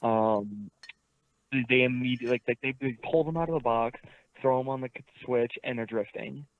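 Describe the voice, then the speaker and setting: A man's voice: mid-range voice, normal speed. Sick-sounding man on a tinny line. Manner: blunt, rambling, swears, restarts. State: sick, tired voice. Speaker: streamer host. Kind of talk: casual livestream chat.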